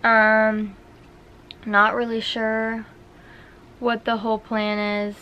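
A woman's voice: fairly high pitch, slow, muffled recording, slight background noise. Young woman chatting casually in her apartment. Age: young adult. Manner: chatty, relaxed, many "um"s and "like"s. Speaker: vlogger. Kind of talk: casual vlog chat to camera. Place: small apartment indoors.

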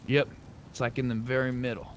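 A man's voice: medium pitch, little pitch movement, fairly quick. A man chatting casually with other players during an online roleplay game. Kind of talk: casual gaming chat with in-character roleplay. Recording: faint background noise, game ambience.

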